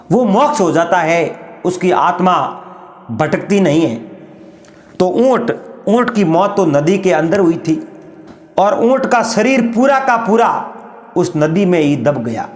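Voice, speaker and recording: A man narrating a folk story in an unhurried, drawn-out way, often calling his listeners friends. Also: sits high, echoey room.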